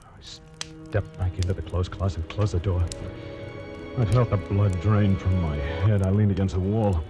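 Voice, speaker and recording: Very deep-voiced tense man plotting murder acted. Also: normal speed, lightly acted. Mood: dazed, tense. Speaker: first-person narrator and lead actor. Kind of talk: 1940s radio thriller narration. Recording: old radio recording, loud dramatic music.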